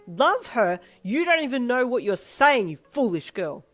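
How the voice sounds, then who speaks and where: Lady putting on an angry dad, booming and yelling, loud and strict, soft music behind. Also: very animated, unhurried, heavily acted. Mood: furious. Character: angry strict father, middle-aged man.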